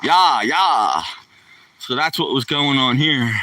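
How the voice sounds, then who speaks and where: Rambling sixty-something man, bright and dry. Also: medium pitch, lively intonation, slow, no room sound, recorded from a distance. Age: 60s. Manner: rambling, folksy, slangy. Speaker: live streamer. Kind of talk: casual live-stream chat while walking his dog. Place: outdoors on a neighborhood walk. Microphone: handheld phone.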